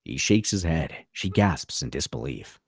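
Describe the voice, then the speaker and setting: A man's voice: extremely low pitch, animated, a little slow, vocal fry, slightly rough, no room sound, clean studio sound. A man narrating a screenplay's stage directions at a table read, theatrical and punchy in short clipped phrases.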